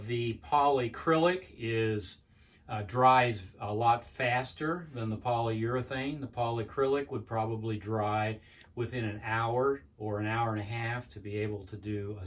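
An older man giving a plainspoken woodworking how-to in his home workshop, pausing with "uh" as he explains. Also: fairly low pitch, distant mic. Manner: plainspoken, with frequent "uh" pauses and restarts. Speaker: woodworking instructor. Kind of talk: casual how-to demonstration. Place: cluttered home workshop, indoors. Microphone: camera at a distance.

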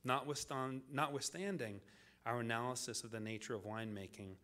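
A man delivering a scripted public lecture as the lecturer, in deliberate, measured phrases with dramatic pauses. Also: medium pitch, sharp tone.

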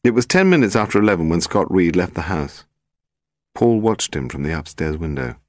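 A man narrating a detective novel as an audiobook, in a measured, expressive storytelling manner. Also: low voice, no echo, clean studio sound.

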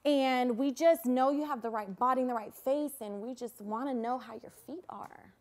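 A woman's voice: high voice, moderate pace, a little mellow, slight background noise. A woman in her twenties improvising a prank call as a producer, gushing and swearing freely, recorded in a living room. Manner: gushing, profane. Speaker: prank caller.

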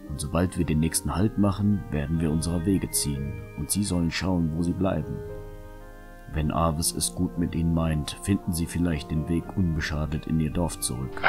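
A man plays a gruff, stubborn wanderer in a fantasy audio play. He mutters self-justifications in a low voice, with music playing behind him. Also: lightly acted. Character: gruff, hard-hearted male wanderer confiding in his horse. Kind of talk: fan audio drama monologue.

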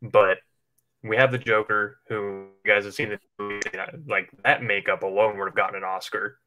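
A man with a fairly low voice and a bright, forward edge. He talks like a livestream co-host in casual, rambling conversation, informal and loose in his wording. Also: little pitch movement, moderate pace, faint music behind, low-quality recording. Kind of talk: casual chat speculating about films.

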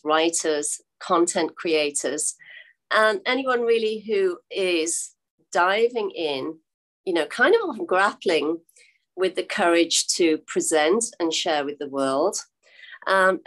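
An older woman hosts an interview over a video call from a room at home. Her voice sits a little low, and she talks in an encouraging way with drawn-out phrasing. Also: low-quality recording. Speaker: host. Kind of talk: interview hosting. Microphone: video call webcam.

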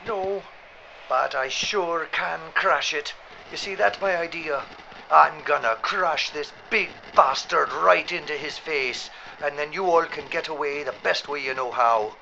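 Sharp, gravelly self-sacrificing hero. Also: male voice, fairly high pitch, a little slow, dull audio, a little hiss or hum, exaggerated voice. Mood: resolute. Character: Declan, reckless, self-sacrificing man at plane controls. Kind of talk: radio horror drama acting.

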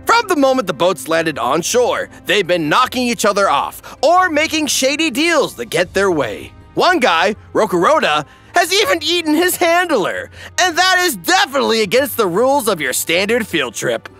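A man's voice: sits high, wildly swooping pitch, normal speed, few pauses, pushed and bright, faint music behind. A man delivering scripted comedic recap narration in a theatrical, wry, emphatic way.

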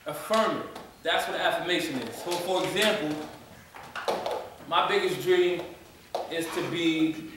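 A young man runs a youth poetry workshop, his somewhat high voice casual and encouraging as he repeats lines slowly. He is picked up by a camera far across a hard-walled room. The sound is very echoey, with heavy background noise. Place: large community room with hard walls.

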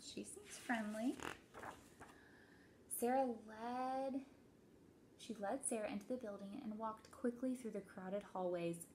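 A woman in her thirties, a teacher doing an expressive read-aloud story time for children. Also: mid-range voice, measured pace, a little hiss or hum, low-quality recording. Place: small indoor office.

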